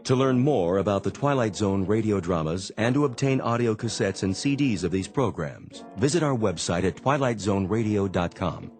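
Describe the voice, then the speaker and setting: Low-voiced, animated male radio announcer. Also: normal speed, hardly any pauses, faint music behind. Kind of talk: scripted credits announcement.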